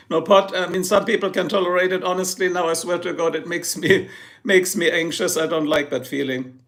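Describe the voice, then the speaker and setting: A man's voice: high-pitched, steady tone, moderate pace, pauses often, fairly bright tone, a little hiss or hum. A man with a German accent hosting a livestream, chatting casually with viewers in a joking, rambling way and laughing often. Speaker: livestream host.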